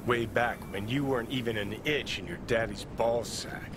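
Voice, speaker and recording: Video game role: male actor as arrogant middle-aged American rockstar, cynical and abrasive, with clear background vehicle noise. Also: medium pitch, moderate pace, natural voice. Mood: cocky. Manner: profane, cocky, sardonic. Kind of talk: cinematic video game acting.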